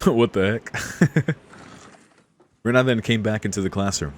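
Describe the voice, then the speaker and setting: A man with a low voice plays a visual novel, reading lines aloud and commenting casually. He is informal and chuckly, with faint music behind him. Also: unhurried. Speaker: streamer playing a visual novel.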